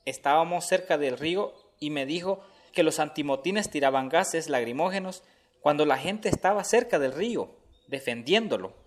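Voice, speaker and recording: A man's voice: a little high, expressive, normal speed, slight background noise, close to an everyday voice. A man with a Honduran accent playing an indignant, colloquial community activist in a radio drama. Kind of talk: scripted radio drama dialogue. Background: music.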